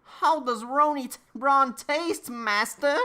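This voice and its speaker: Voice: sits high, takes it slowly, sharp tone, voice pushed up, heavily acted. A performer voicing an eager, servile house-elf slave in a comedic dramatic reading. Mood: eager, urgent.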